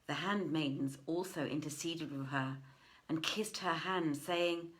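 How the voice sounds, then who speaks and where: A middle-aged woman reads old tales aloud with theatrical weight. Her voice sits slightly low, and she takes her time, moving very slowly and setting phrases apart with deliberate, emphatic pauses. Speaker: storyteller reading aloud. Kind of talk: theatrical live reading of old tales in archaic English. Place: indoors, living room by a fireplace.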